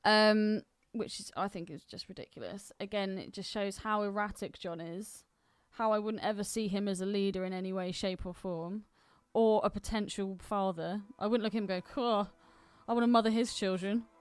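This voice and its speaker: A woman's voice: mid-range voice, fairly even intonation, moderate pace, hardly any pauses, clear, resonant tone, no room sound. A woman co-hosting a podcast in casual film discussion, speaking in an opinionated, argumentative manner. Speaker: podcast co-host.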